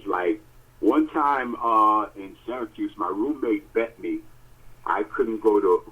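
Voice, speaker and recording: A man's voice: fairly low pitch, slightly rough. A man co-hosting a podcast over a phone call, telling stories in a casual, emphatic way with lots of fillers. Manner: animated, emphatic storytelling with many fillers. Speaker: podcast co-host. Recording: tinny phone line.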